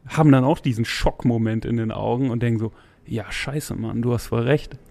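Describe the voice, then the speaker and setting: A man's voice: medium pitch, fairly quick, vocal fry, darkish tone. A man in his forties, a podcast guest, talking casually in a loose, slangy, rambling way with laughs.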